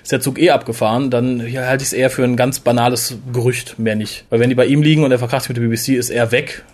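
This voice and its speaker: A man's voice: medium pitch, fairly even intonation, fast, a little mellow. A man hosting a casual fan podcast, chatting freely and giving his opinions. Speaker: podcast host.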